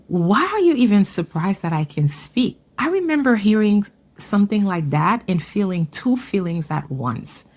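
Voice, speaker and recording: A woman from Haiti giving an earnest, measured personal testimony. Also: medium pitch, a little mellow.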